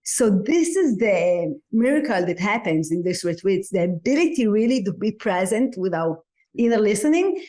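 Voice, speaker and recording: A woman whose mother tongue is Hebrew speaks in English during a relaxed podcast conversation. She sounds thoughtful and sometimes gropes for the right word. She restarts her phrases as she feels her way through an idea. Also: medium pitch, moderate pace. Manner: reflective, searching for words, restarts.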